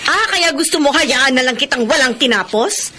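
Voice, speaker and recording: A proud, middle-aged businesswoman mother in a radio drama, exasperated and indignant. Her high voice moves in lively swings, with faint noise behind it. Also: normal speed. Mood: exasperated scolding. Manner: scolding, indignant.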